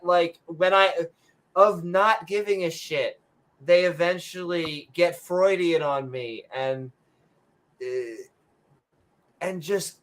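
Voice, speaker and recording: A woman co-hosting a podcast in casual chat, telling jokey stories and swearing freely. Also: on the low side. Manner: animated, swearing, joking storyteller. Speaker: co-host.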